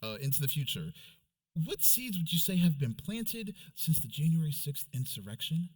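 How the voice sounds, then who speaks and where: A middle-aged American man interviewing a guest on stage, easing into his questions in a halting, roundabout way. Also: fairly high pitch, sing-song, darkish tone. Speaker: interviewer, reporter. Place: on stage before an event audience.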